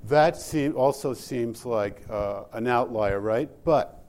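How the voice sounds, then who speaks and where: A man's voice: medium pitch, takes it slowly, darkish tone. A man presenting pricing data from slides at an industry session, asking the audience for input and restarting sentences often. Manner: explains slides, polls the audience, many restarts.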